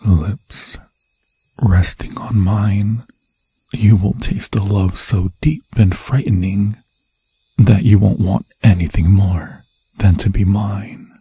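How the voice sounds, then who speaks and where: A man performs a lovesick, menacing demon lord for ASMR horror roleplay. The voice is low and very husky, often falling to a whisper. He speaks slowly and seductively in grand villain phrases, close and dry on the microphone. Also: fairly even intonation, warm tone, no room sound, exaggerated voice. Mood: tender, yearning. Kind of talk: ASMR fantasy horror roleplay, theatrical monologue.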